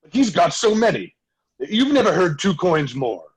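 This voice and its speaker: A man's voice: high-pitched, very animated, slow, husky, heavily acted, low-quality recording. A man playing a mocking, sceptical outlaw rider with a folksy drawl in a western table read.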